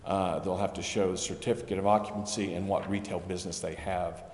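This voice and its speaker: Very low, flat-voiced man in an echoey chamber. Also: fairly quick. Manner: hesitant, many ums and restarts. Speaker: city staff member presenting grant details. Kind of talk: explaining to a council meeting. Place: council chambers. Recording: echoey chamber, faint hum.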